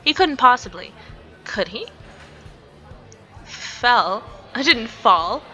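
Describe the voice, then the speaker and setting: Naive angel heroine voiced by a woman, earnest and easily flustered, bright raised voice, visual novel game. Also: fairly high pitch, lively intonation, slow pace, lo-fi sound, faint music behind, pitched above the performer's own voice, full character voice. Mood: indignant. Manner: indignant, flustered, questioning.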